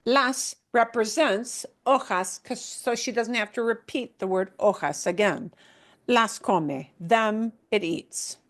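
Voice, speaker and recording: A woman's voice: fairly high pitch, slow. A woman teaching a language class, patiently explaining and repeating words. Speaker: Spanish teacher.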